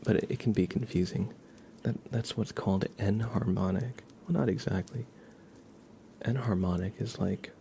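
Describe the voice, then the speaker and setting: A man speaking softly in ASMR style, partly whispering, as he casually explains things to a live stream in a gentle, halting way. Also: on the low side, vocal fry, slight background noise.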